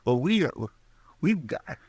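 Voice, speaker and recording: A man's voice: a little high, expressive, a little slow, darkish tone, slightly rough, dry recording. An actor playing an eager, simple, folksy deputy who defers to his marshal in a radio western. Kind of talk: old-time radio western acting. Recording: old radio recording, faint noise, clicks.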